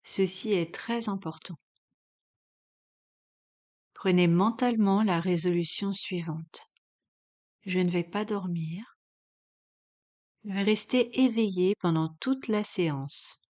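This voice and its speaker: Woman, yoga instructor, guided meditation, calm, measured, very slow delivery, long pauses, medium pitch.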